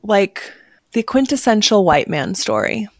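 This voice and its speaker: A woman's voice: mid-range voice, expressive, unhurried, dry recording, studio quality. A woman co-hosting a podcast, chatting casually and informally with plenty of slang. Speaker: podcast co-host. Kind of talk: casual chat about a spy case.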